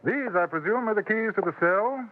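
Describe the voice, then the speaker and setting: An actor playing a courteous, coolly dangerous English gentleman adventurer in a 1950s radio western, speaking formally with a British accent on an old broadcast recording. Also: sits high, lively intonation, a little fast, phone-quality sound, background noise. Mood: politely menacing. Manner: formal, polite, precise. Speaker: lead character and narrator.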